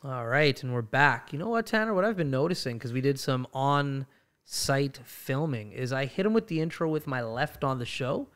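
A man hosting a casual morning talk show from a small studio, upbeat and animated, with direct questions for his guest. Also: medium pitch, normal speed, clear, resonant tone. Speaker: podcast host. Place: small studio room with armchairs. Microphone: boom-mounted studio mic.

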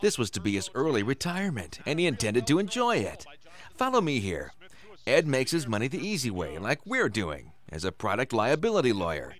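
Mocking, menacing gunman, lively pitch. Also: fairly quick. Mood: sly, casual. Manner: mocking, taunting. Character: menacing, sardonic gunman toying with his hostage. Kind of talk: radio drama acting.

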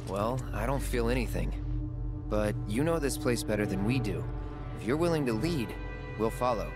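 An actor playing a cool, plain-spoken young hero in a video game, understated and matter-of-fact. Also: male voice, medium pitch, animated, normal speed, music in the background, natural voice.

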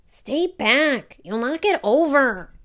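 Woman playing an emphatic, high-voiced young schoolboy. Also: sing-song, slow pace, a bit bright, exaggerated voice. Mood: annoyed. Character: young schoolboy, big brother, expressive and earnest. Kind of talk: children's storybook read-aloud.

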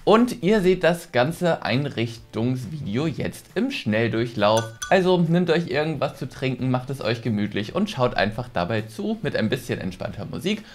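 A man's voice: medium pitch, very animated, normal speed, hardly any pauses. A man, casually presenting a gaming video as host at a close desk microphone in a small room. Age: 20s. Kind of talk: casual let's-play presenting to camera. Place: small furnished room indoors. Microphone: desk microphone close to speaker. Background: background music.

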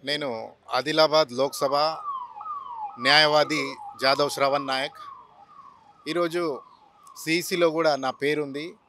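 A man with a Telangana Telugu accent makes a formal political statement to a reporter. His tone is forceful and sharp, slightly raised in pitch, running through long lists. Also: fairly even intonation, measured pace, long pauses, slight background noise. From Telangana, India. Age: 40s. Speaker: lawyer and politician seeking candidacy. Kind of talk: news interview statement. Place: outdoors on a city roadside. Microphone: handheld reporter's microphone.

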